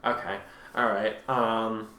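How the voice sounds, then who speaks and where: A man talking casually as a co-host and writer about his own story, haltingly searching for words and correcting himself. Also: lowish voice, little pitch movement, pushed and bright. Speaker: fan fiction writer and co-host. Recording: echoey room.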